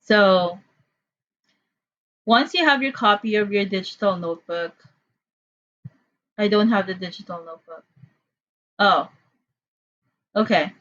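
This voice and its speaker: A woman's voice: medium pitch, measured pace, pauses often, fairly bright tone, lo-fi sound. Chatty Filipino-accented woman teacher. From Philippines. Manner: chatty, apologetic, with frequent fillers. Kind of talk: online class instruction. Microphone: computer mic on a video call.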